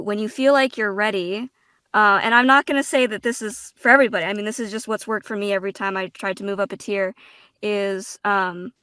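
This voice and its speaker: A woman voice actor talking casually on a panel, halting as she searches for words, with some self-deprecating humor. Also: mid-range voice, fairly bright tone, clean tone, no room sound.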